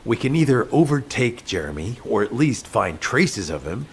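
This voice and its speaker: An actor playing a tense, commanding military mission officer, earnest and authoritative in scripted game dialogue. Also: male voice, mid-range voice, sing-song, normal speed, a little hiss or hum. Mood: grave, businesslike. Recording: music behind the voice.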